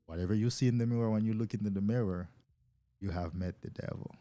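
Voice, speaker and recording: A man's voice: lowish voice, fast talker, mellow, dry recording. A man hosting a casual live stream as a coach, talking loosely and enthusiastically to viewers and often repeating words as he goes.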